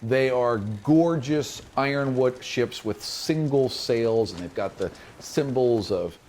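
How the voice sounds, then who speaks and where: A man's voice: medium pitch, slow pace, darkish tone, slight background noise. A man narrating a fantasy tabletop game as game master, descriptive and informal.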